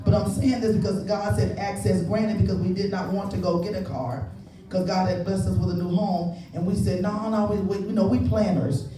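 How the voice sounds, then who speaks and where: A woman's voice: mid-range voice, steady tone, fairly quick, dark tone. Echoey woman preacher giving testimony. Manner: conversational storytelling with exhortations and repeated appeals to listeners. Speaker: church preacher. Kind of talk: testimonial sermon with call and response. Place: large indoor church space. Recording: echoey church hall, distant, music behind.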